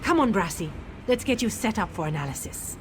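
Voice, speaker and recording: Woman as a prickly game researcher, secretly soft-hearted, animated pitch, clear background noise. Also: fairly quick, lightly acted. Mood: relieved, attached. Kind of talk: AI-voiced video game dialogue.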